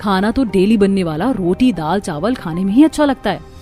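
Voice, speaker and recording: A woman's voice: medium pitch, expressive, moderate pace, warm tone, faint music behind, natural voice. A woman voicing a polite, earnest young newlywed daughter-in-law in a cartoon.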